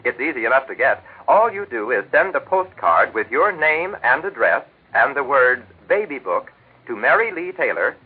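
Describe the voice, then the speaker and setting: An American man sells a sponsor's product in chatty 1940s radio style. His husky voice rises and falls freely in a folksy patter. It comes through as a narrow, phone-like old broadcast. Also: medium pitch, big pitch swings, normal speed. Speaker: radio announcer and sponsor's pitchman. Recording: vintage 1940s radio broadcast, telephone-like band.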